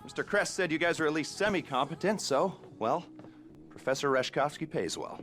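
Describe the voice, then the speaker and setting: A man's voice: a little high, fairly bright tone, lightly acted. An actor playing a jittery, cagey male heist planner who gives sardonic, hedging mission briefings in a video game cutscene. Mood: brisk, businesslike. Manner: hesitant hedging mixed with brisk, sardonic briefing. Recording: music behind the voice.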